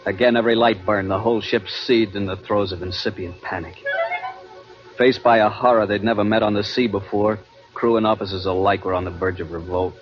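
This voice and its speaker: Casting: male actor as haunted young seafaring adventurer, low dramatic voice, vintage radio drama with prominent music. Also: fairly even intonation, muffled recording, natural voice. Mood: grim suspense. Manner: dramatic, suspenseful, deliberate delivery. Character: haunted, guilt-ridden young adventurer narrating his terror at sea. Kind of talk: 1940s-50s radio drama narration and acting.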